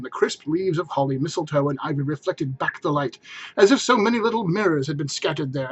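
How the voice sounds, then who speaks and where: High-voiced man narrating dramatically, slightly husky. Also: dull audio. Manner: theatrical, emphatic phrasing. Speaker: narrator reading aloud.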